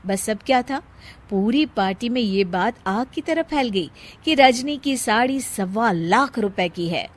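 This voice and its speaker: A woman's voice: a little high, expressive, measured pace. A woman narrating a scripted moral story as a storyteller.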